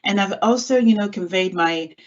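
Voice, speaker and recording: A woman's voice: medium pitch, normal speed. A middle-aged woman who was born in France and grew up in California, answering conversationally as a guest in an online interview. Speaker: guest, executive assistant and software trainer. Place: indoors, online video call. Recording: dull, muffled call audio.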